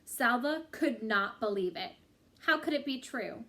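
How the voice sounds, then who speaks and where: Higher-voiced woman reading a story aloud. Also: expressive, measured pace, pushed and bright. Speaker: reader reading a book chapter aloud.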